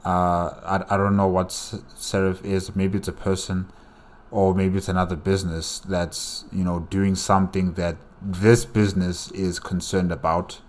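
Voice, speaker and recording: A man's voice: low voice, little pitch movement, moderate pace. A man reacting casually as a host, musing and asking questions aloud as he comments.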